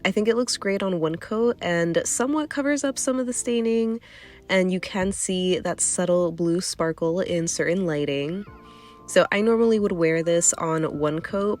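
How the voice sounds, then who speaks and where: A young woman with a nasally congested voice, casually reviewing nail polish in a chatty, candid way. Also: fairly low pitch. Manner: chatty, candid, hedging with fillers. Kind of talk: casual product review vlog.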